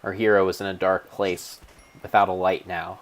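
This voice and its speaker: Male narrator, low register, theatrical and grave, reading gameplay as an epic tale. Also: level tone. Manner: theatrical, solemn, mock-epic delivery with drawn-out words. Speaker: streamer narrating own gameplay. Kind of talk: dramatic storybook narration of a video game.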